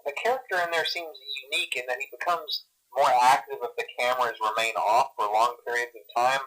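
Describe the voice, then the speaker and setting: Hesitant, chipper employee on phone message. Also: male voice, mid-range voice, brisk, sharp tone, low-quality recording. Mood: chipper, awkward. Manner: hesitant, rambling, stammering, awkwardly reassuring. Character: nervous, chipper male company employee downplaying grisly dangers. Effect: telephone voicemail filter. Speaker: phone guide to a night watchman. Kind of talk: recorded phone training message.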